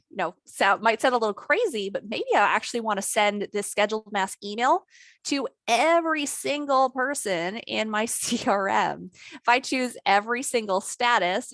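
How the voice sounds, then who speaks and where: A woman trainer talking through a software tutorial in a casual, conversational way, her voice a little worn today. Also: mid-range voice, lively intonation, normal speed, no echo. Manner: conversational, lots of "maybe" and "you know". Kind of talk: screen-share software tutorial.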